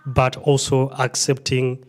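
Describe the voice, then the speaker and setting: A middle-aged man from Rwanda introduces himself in a short speech. He is a native Kinyarwanda speaker with a mid-pitched voice. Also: monotone, takes it slowly. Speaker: refugee resettlement worker introducing himself.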